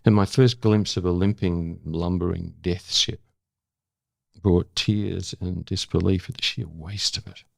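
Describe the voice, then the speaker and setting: An older Australian man with a gravelly voice, speaking as an interview guest and former correspondent. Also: low-pitched, fairly even intonation, a little slow, no room sound, studio quality. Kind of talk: dramatic spoken-word recital of own prose, then reflective conversation.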